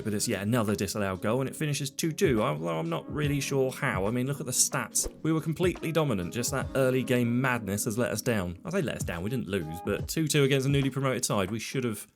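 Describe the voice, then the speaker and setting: A man with a stuffy, cold-blocked voice, casually talking through his football management game, chatty and self-deprecating, often trailing off mid-sentence. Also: medium pitch. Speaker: game commentator. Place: home desk setup.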